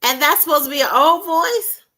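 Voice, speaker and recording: A middle-aged woman with a Southern US accent co-hosts a casual live chat in a sassy, teasing way. Her voice is bright and a little high, recorded on a computer mic. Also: lively intonation, normal speed. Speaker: co-host.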